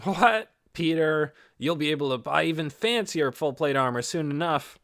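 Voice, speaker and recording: A man's voice: on the high side, animated, normal speed, fairly bright tone, no echo, clean studio sound, pitched above the performer's own voice. A man voicing a breezy, teasing young male adventurer in an audiobook, with casual upbeat banter. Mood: teasing support.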